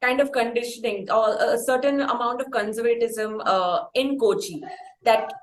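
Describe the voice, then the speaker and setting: A woman with an Indian accent interviewing in a conversational style, with wordy, halting phrasing. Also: fairly high pitch. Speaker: podcast interviewer. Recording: roomy echo, faint background noise.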